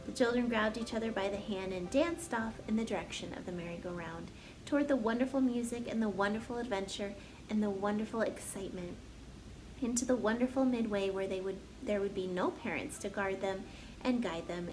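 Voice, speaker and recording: A woman's voice: mid-range voice, a little fast, background noise. A woman narrating a children's story as a storyteller, reading aloud expressively.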